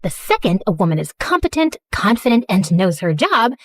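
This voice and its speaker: A woman's voice: mid-range voice, sing-song, fairly quick, dry recording. A woman playing a tough, prickly woman on a mission, curt and combative, in an audio story. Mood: indignant. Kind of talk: acted dialogue in an audio story.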